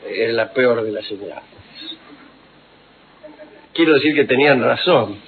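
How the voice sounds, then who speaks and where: A man, telling historical anecdotes on the radio in an unhurried, wry, digressive way full of fillers. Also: mid-range voice, a little hiss or hum. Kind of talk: rambling storytelling on radio. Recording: tinny phone-like radio recording.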